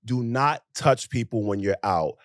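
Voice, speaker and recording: A young American man co-hosting a podcast in casual chat, talking in an animated, emphatic way with rhetorical questions. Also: medium pitch, a little slow, dry recording, clean studio sound. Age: young adult. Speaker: podcast co-host.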